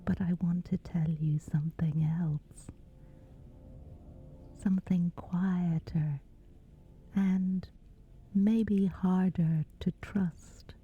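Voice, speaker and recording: A woman guiding a scripted sleep meditation in a breathy, whispery, hushed and soothing voice, leaving long pauses. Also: on the low side, steady tone, a little hiss or hum. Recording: slightly dull, soft music behind. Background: music.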